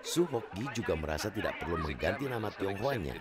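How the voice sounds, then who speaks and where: A man reading scripted documentary narration in a measured, formal way. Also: deep voice, very animated, a loud crowd. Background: loud music.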